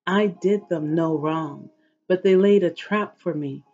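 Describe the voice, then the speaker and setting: Middle-aged female devotional reader, slow and solemn, husky tone, dull home webcam sound. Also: fairly low pitch, warm tone, faint music behind. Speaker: host of an inspirational reading series. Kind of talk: devotional scripture reading aloud. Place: living room at home, indoors.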